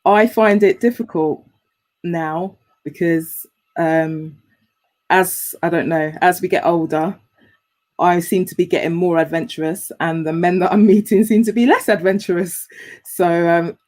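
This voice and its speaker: A woman's voice: on the low side, fairly even intonation, a little slow, a little mellow. A woman, a guest on a video call, speaking frankly and laughing at herself in casual chat.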